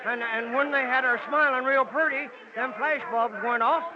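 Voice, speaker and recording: An actor playing a friendly, folksy country local with a rural American drawl in a 1940s radio drama. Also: male voice, very high-pitched, fairly even intonation, moderate pace, a crowd in the background. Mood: excited. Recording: dull, noisy old radio recording.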